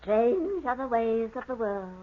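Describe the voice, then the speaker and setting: A grand, credulous spiritualist countess in an old radio play. Her delivery is theatrical and gushing, swooping widely in pitch. The recording is dull and dated. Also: animated, a little slow, a little hiss or hum. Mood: mystical, grand. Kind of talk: 1940s radio acting.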